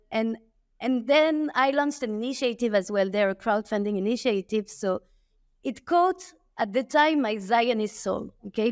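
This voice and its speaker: A woman's voice: fairly high pitch, a little mellow, dry recording, clean studio sound. Earnest French-accented woman. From France. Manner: earnest, emphatic, with frequent restarts and "okay?" asides. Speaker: guest, tech entrepreneur. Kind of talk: remote interview conversation.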